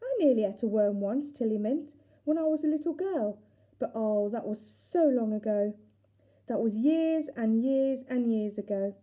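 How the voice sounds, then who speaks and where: A woman's voice: on the high side, animated, moderate pace, warm tone, close to an everyday voice. A woman voicing a whimsical, enthusiastic grown woman in a children's storybook, with an exclamatory, sing-song manner. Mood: wistful. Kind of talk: children's storybook character reading.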